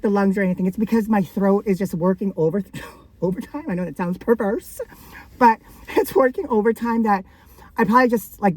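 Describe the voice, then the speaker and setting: A woman vlogging casually about her thrift finds, her voice raspy from days of coughing, rambling and laughing between thoughts. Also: mid-range voice, a little mellow, dry recording, low-quality recording. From Canada. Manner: chatty and rambling, frequent likes and laughs. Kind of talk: casual thrift haul vlog.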